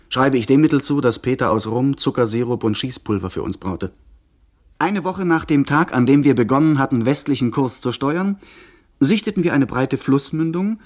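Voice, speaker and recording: Guy playing young gentleman telling his sea tale, medium pitch, sober and measured, radio play. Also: lightly acted. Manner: measured, literary storytelling. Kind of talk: scripted radio play narration.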